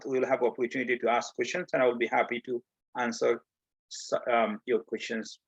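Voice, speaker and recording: A man from Bangladesh gives an earnest community talk, explaining step by step with frequent pauses. His gravelly voice sounds somewhat husky. The audio is muffled, as on a video call. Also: mid-range voice, a bit bright. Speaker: guest speaker. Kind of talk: community presentation.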